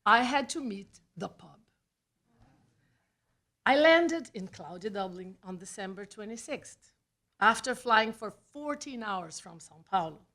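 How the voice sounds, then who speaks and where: A woman with a Brazilian accent, a native Portuguese speaker, reads a personal essay aloud at a live event, with deliberate phrasing and dramatic pauses. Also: medium pitch, animated, fairly bright tone. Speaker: writer reading own personal essay. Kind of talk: scripted storytelling read aloud at a live event. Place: small theatre, live reading event.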